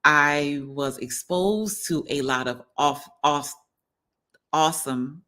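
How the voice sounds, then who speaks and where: Middle-aged woman, livestream host, bright forceful voice, unhurried pace, conversational, speaks straight to viewers. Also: low-pitched. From New Orleans, United States. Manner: conversational, addresses viewers directly, often seeks agreement. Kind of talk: casual livestream chat.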